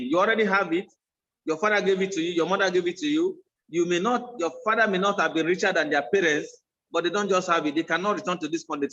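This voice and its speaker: High-voiced man, preachy. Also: a bit bright. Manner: preachy, encouraging, rhetorical questions. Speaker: host. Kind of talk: casual talk show chat. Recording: dull lo-fi sound.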